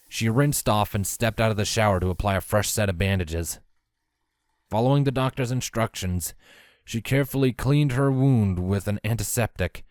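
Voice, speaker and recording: Low-pitched man narrating a story. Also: a little mellow, dry recording, clean studio sound.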